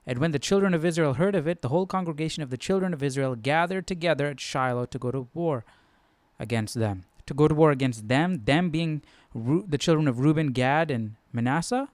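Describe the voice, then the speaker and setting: A man hosting a Bible study, reading the passage aloud and thinking out loud with casual, hesitant asides. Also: a little high, brisk, dry recording.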